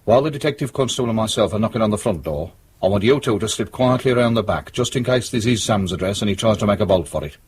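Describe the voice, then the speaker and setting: Dry, sardonic British detective. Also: male voice, on the low side, expressive, fast talker, hardly any pauses, slightly rough. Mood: commanding. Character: dry, sardonic, assured male police detective leading an investigation. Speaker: actor in a radio drama. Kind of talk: naturalistic radio drama acting.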